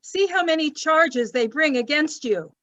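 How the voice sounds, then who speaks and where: A woman playing a questioning Roman governor in an amateur scripture reading, speaking deliberately. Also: high-pitched, expressive, fairly bright tone. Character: Pilate, questioning Roman governor addressing a crowd. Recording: dull lo-fi home recording.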